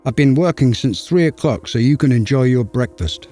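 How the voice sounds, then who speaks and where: A man voicing a kindly, bustling female baker in a storybook reading, cheerful and bright in tone. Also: mid-range voice, lively intonation, moderate pace, faint music behind, close to an everyday voice.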